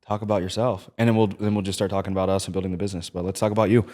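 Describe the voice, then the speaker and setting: A young man with a fairly low voice hosts a casual podcast chat. He talks in an animated, rambling way, piling on fillers as he goes. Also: very fast. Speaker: podcast host.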